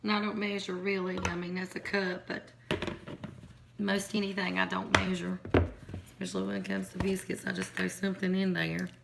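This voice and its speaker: A hoarse woman, chatting casually as she shows her home cooking in a kitchen. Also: medium pitch, flat delivery, normal speed. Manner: chatty, rambling, folksy. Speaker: home cook. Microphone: phone or camera on counter. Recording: noisy home recording.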